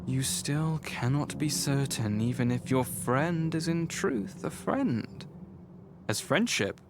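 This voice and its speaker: A man playing a solemn game narrator, reading scripted story narration in a measured, formal manner. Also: fairly high pitch, animated, gravelly, noisy background, full character voice. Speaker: narrator of a visual novel. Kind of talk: scripted narration, second person.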